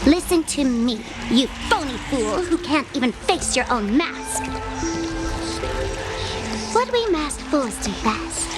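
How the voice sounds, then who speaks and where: Manic game trickster girl, extremely high voice, swooping intonation, theatrical, taunting, mischievous. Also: full character voice. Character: mischievous, mocking young female masked trickster, manic and defiant. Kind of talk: scripted video game voice acting. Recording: loud game music behind voice.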